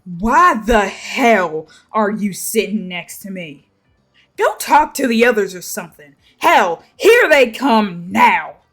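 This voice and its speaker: A woman's voice: medium pitch, very animated, slow pace, fairly bright tone, soft background music, voice pushed up, full character voice. A woman voicing a hostile, irritated young female inkling in a story, with a short, snarling delivery. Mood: angry, snarling.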